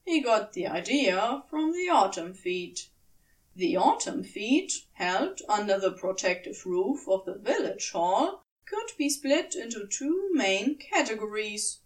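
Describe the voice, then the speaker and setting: A woman reading scripted fiction as narrator, in a measured, expressive storytelling manner, recorded slightly away from the mic. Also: fairly high pitch, far from the mic, low-quality recording. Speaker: podfic narrator.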